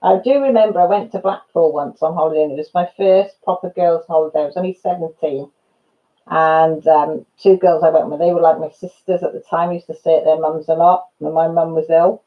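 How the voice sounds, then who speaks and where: A woman's voice: sits low, steady tone, a little fast, narrow, tinny audio. A Welsh woman in her fifties, a channel host chatting casually with viewers, calling them by name and laughing through her stories. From Wales. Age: 50s. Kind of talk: casual live chat and storytelling.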